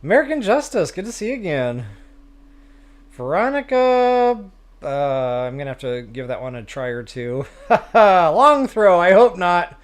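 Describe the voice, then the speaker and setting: A man's voice: high voice, sing-song, a little slow. A man hosting a game livestream, chatting casually with viewers in a self-deprecating way. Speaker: live stream host, gamer.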